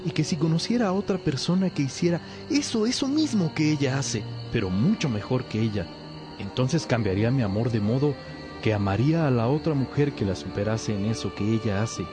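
A man's voice: mid-range voice, lively intonation, fairly quick, lightly acted. An actor playing a lovesick young man, a respectful pupil who speaks earnestly and hesitantly in a religious radio drama. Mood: searching, respectful. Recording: music behind the voice.

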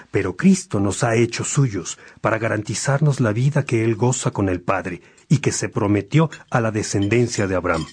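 An actor playing a devout, gracious bishop in a radio drama, preaching to his brothers in an earnest, measured way. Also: on the low side, a little mellow, breathy edge.

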